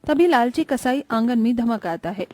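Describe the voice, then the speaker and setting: Mellow-voiced woman narrating a story. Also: on the high side, animated, moderate pace.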